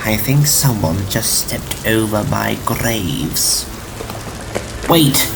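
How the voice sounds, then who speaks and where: Man acting a slow, grave, wry time traveller. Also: lowish voice, animated, vocal fry, noisy background, exaggerated voice. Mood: alarmed. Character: ancient, wry, worried male time-travelling alien. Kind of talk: audio drama acting.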